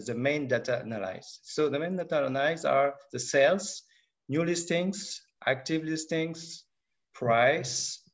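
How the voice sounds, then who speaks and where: A man giving a webinar presentation on market statistics. He speaks haltingly and fills nearly every pause with "uh". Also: medium pitch, dull audio. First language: French. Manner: halting, with constant filler pauses. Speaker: market analysis director presenting.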